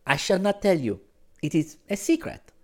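A young woman of the bohemian set, acted out by a male narrator, speaks in a lifted, high voice. Her phrasing is formal and careful, hesitant and guarded, with very lively rises and falls, as in a period storybook romance. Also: normal speed, natural voice. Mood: hesitant, secretive. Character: young French woman, shy, secretive, feverish bohemian.

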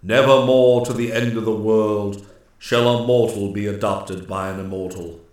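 Male reader as an aged woodland ruler, stern, solemn, deliberate, commanding, fairy-tale storybook. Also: fairly low pitch, steady tone, darkish tone, heavy reverb, far from the mic, lightly acted. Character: stern, grave old male forest immortal, ruler of nymphs.

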